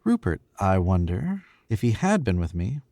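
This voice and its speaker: Casting: a man as a cocky young killer with a lowish voice, wide expressive pitch, crisp theatrical delivery. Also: normal speed, dry recording. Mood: scheming. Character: smooth, arrogant young male murderer host, nervous. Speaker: radio play actor. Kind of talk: old-time radio drama recreation.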